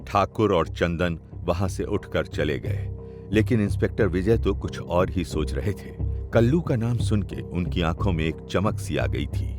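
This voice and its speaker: Low-pitched man, scripted storytelling, narrator of a mystery drama, clear music bed. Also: animated, moderate pace, darkish tone. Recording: music behind the voice.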